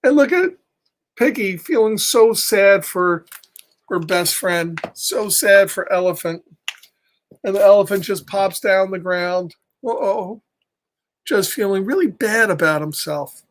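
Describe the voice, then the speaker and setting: A middle-aged man reading aloud and telling casual stories to children in an encouraging, expressive, chatty way. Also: high-pitched, a little slow. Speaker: storytime reader for children. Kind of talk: animated read-aloud and casual personal storytelling.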